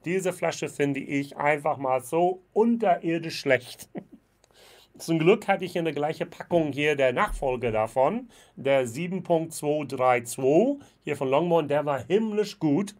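A man's voice: fairly high pitch, expressive, measured pace, darkish tone. A man in his forties from the United States with an American accent, speaking German as a second language in a casual whisky review vlog, chatty with many fillers. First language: English. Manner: chatty, frequent fillers, gestures with bottles. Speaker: whisky vlogger. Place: indoor room with shelves of whisky bottles. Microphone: webcam at desk.

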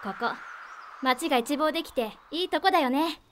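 A woman's voice: high voice, normal speed, a little hiss or hum. A woman playing a caring, teasing teenage girl in an audio drama, speaking in a youthful, casual way. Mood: bright, teasing. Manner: youthful, casual, expressive schoolgirl speech. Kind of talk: Japanese audio drama acting.

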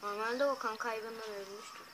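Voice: mid-range voice, steady tone, moderate pace, noisy background. A co-viewer making wry, skeptical remarks while watching a cartoon, recorded on a phone filming a screen. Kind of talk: casual reaction commentary. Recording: phone recording under loud cartoon music. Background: loud cartoon soundtrack.

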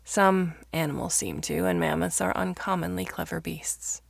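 A woman reading fiction aloud as a narrator, in a measured, expressive storytelling manner. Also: lowish voice, creaky, dry recording, clean studio sound. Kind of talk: scripted audiobook-style narration of fiction.

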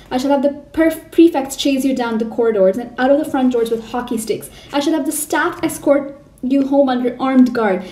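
A woman voicing a furious, bullying headmistress who shouts and rants, recorded in a roomy space from a distance. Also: medium pitch, natural voice. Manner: shouting, loud and harsh.

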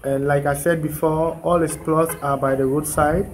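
A man's voice: a little high, level tone, moderate pace, loud music behind the voice. A young man, chatting casually to his vlog audience, filmed on a phone held at arm's length outdoors at a building site, talking loosely with fillers and restarts. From Ghana. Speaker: vlogger.

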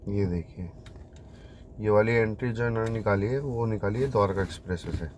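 A man's voice: fairly low pitch, a little fast, a little mellow, slight background noise. A man giving a casual walkthrough commentary as a property consultant showing a site. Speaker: real estate consultant giving site updates.

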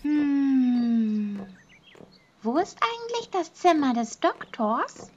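A woman's voice: high voice, very animated, slow pace, muffled recording, a little hiss or hum, full character voice. A woman voicing a childlike, carefree, curious teenage girl in a German fan-dubbed anime audio drama.

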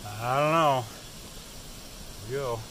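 A middle-aged man casually narrating his hike into a handheld phone, rambling with lots of fillers and pauses. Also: medium pitch, a little hiss or hum, poor audio. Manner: rambling, relaxed, many fillers and trailing pauses. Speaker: hiker filming his trail vlog. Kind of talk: casual walking commentary. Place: outdoors at a rural hostel and open hilltop meadows. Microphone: handheld phone camera.

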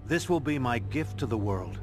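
A man's voice: mid-range voice, animated, moderate pace, over music, close to an everyday voice. An actor playing a visionary male scientist with a rapt, declamatory manner. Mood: rapt, proud. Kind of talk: video game voice acting.

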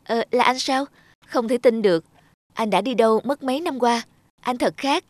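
A woman voicing a kind, polite young female florist in a fairy-tale cartoon, gentle and courteous. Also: fairly high pitch, moderate pace, dry recording, close to an everyday voice. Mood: puzzled, surprised.

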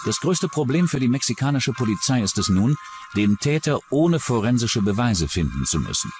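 Male narrator for crime documentary: lowish, animated delivery over music. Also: moderate pace.

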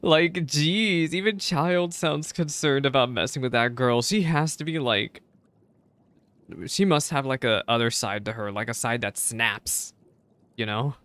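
Clear-voiced young man streaming. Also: medium pitch, measured pace, fairly bright tone, no room sound. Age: young adult. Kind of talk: casual live reaction commentary. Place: indoor streaming setup. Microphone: close desk microphone.

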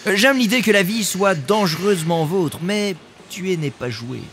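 A man's voice: high voice, animated, moderate pace, fairly bright tone, slight background noise. A man playing a self-assured, eccentric tycoon-scientist in a comedic sci-fi radio play, pompous, theatrical and evasive. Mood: smugly defensive.

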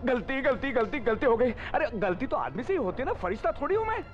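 A man's voice: a little high, very animated, normal speed. A young man playing a scheming, cowardly politician in a gangster film spoof, theatrical and repeating his phrases. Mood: frightened, pleading. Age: young adult. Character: scheming, corrupt politician who turns cowardly and pleading. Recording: muffled audio with background music.

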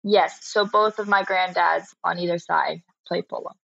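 An 18-year-old woman from Florida answering interview questions, soft-spoken and brief, with frequent small laughs. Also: lowish voice, fairly even intonation, normal speed, gravelly, no room sound, muffled recording. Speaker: guest, polo player.

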